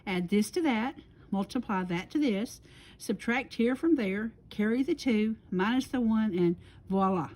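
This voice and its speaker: A woman reading a children's storybook aloud expressively, with deliberate dramatic pauses. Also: medium pitch, lively intonation, darkish tone, poor audio. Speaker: library storyteller.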